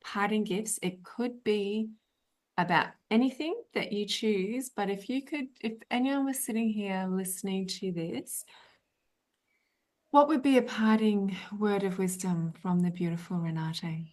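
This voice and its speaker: A woman's voice: mid-range voice, a little slow, darkish tone. A middle-aged woman hosting an interview, talking in a chatty, rambling way with many fillers. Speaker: podcast host. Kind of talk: casual interview chat.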